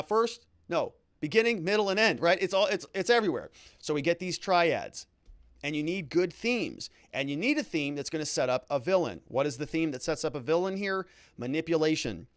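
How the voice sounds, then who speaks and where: A man with a slightly high voice delivers legal commentary as a show host. His pitch swings widely as he stresses key words theatrically, and the sound is dry and close. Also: no echo. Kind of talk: trial recap commentary, reading quotes aloud.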